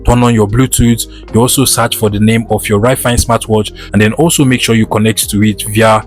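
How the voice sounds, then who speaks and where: Male tutorial presenter, lowish voice, instructional step-by-step delivery over background music. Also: little pitch movement, moderate pace. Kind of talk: scripted step-by-step tutorial narration.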